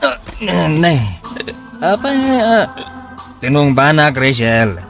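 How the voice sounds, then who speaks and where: A man's voice: fairly high pitch, sing-song, slow, gravelly, full character voice. A man playing a drunk, evasive boyfriend in a radio drama. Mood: flustered, evasive. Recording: loud music behind the voice.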